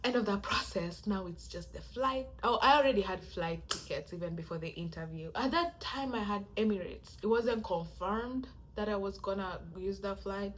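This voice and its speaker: A soft-spoken young woman, chatting casually to camera as she tells a story and acts out how people react to her. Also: moderate pace, hardly any pauses, low-quality recording. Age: young adult. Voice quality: soft-spoken, low-volume voice. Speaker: vlogger.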